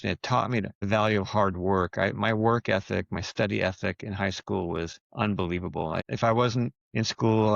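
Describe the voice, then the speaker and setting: A man's voice: fairly low pitch, vocal fry, fairly bright tone, no echo, muffled recording. A man from Quebec whose first language is French, talking as a podcast guest in a reflective way, often restarting his sentences. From Quebec, Canada. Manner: thoughtful, with frequent restarts and fillers. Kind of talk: casual interview talk.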